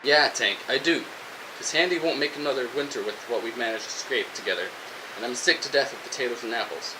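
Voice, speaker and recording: A man's voice: medium pitch, fairly quick, close to an everyday voice. A man playing a defiant, fed-up, hungry survivor in a post-apocalyptic audio drama, arguing with a sarcastic edge. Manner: argumentative, sarcastic. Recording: steady hiss, noisy radio-style recording.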